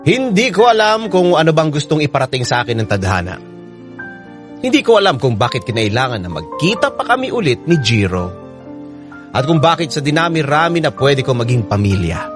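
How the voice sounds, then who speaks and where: A man's voice: fairly high pitch, very animated, brisk. A man playing a wounded, resentful character in a radio drama monologue, sorrowful and brooding. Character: wounded, resentful man narrating his inner thoughts. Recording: piano music behind the voice.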